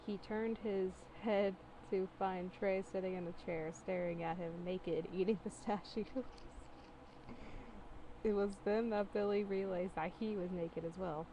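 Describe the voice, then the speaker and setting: Female amateur reader, mid pitch, amused and disbelieving, dramatic narration with snarky asides, light background noise. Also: fairly even intonation, a little slow, mellow, poor audio. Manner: giggly, incredulous, breaks off to comment. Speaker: host reading fan fiction aloud. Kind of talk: casual dramatic reading with mocking asides.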